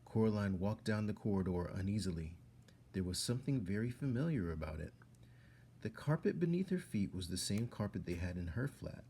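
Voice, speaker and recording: Deep, gravelly man reading aloud. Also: animated, a little fast, warm tone, slight background noise. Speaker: storyteller reading a children's book aloud. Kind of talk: narrated story read-aloud.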